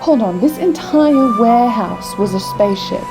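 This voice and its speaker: A woman's voice: fairly high pitch, lively intonation, moderate pace, over music. A woman playing a plucky, trusting young companion in a fan audio drama, with a plain, questioning, lightly dry manner. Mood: worried, dry.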